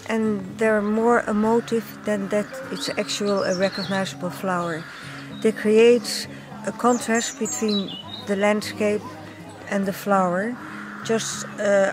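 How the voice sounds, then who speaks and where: Older dutch lady talking through her paintings, calm and measured, soft guitar music underneath. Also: medium pitch. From Netherlands. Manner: calm, measured, slightly non-native phrasing. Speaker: artist. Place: home art studio, indoors.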